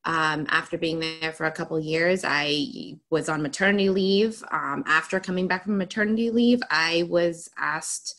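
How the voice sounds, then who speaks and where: A woman's voice: sits low, moderate pace, few pauses, a bit bright. A woman from Greece, a podcast guest, answering interview questions with enthusiasm in long run-on turns. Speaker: podcast guest, attorney and author.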